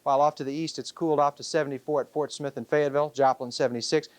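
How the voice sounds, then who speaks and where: Higher-voiced male TV weatherman, dry sound. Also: steady tone, rapid-fire, dry recording. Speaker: TV weather forecaster. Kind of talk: ad-libbed weather report, conversational presenting. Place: TV news studio.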